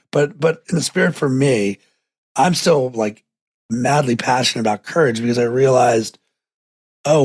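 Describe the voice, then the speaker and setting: A man's voice: medium pitch, vocal fry, husky, no echo. A man hosting a podcast, talking in an eager, rambling way with lots of fillers. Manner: enthusiastic, rambling, many fillers. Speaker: podcast host. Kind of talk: casual podcast conversation.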